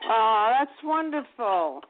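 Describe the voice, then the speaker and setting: A woman's voice: on the high side, lively intonation, slow pace, noisy background. Friendly New Jersey woman calling in. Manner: friendly, chatty. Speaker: caller. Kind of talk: casual chat. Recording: phone-like call audio.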